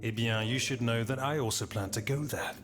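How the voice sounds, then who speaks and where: A man's voice: medium pitch, fairly quick, close to an everyday voice. A man playing a shrewd, worldly French marquis and general in a video game, assured and formal. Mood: wry, resigned. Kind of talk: dramatic video game acting. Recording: music behind the voice.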